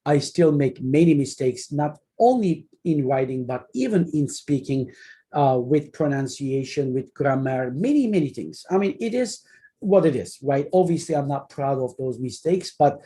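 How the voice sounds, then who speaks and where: A man in his forties, whose first language is Turkish, speaks English with a Turkish accent in a slightly high, mellow voice. He lectures earnestly as a journal editor in an online webinar, often pausing, restarting phrases and filling gaps with ums. The sound comes through a computer mic on a video call. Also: expressive. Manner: earnest, halting explaining with many fillers and restarts.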